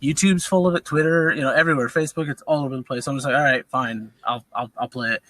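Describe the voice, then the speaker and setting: A man's voice: mid-range voice, steady tone, quick pace, a bit bright, dry recording. A man in his thirties, co-hosting a casual gaming podcast, talking with many fillers and restarts. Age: 30s. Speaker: podcast co-host. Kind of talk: casual chat.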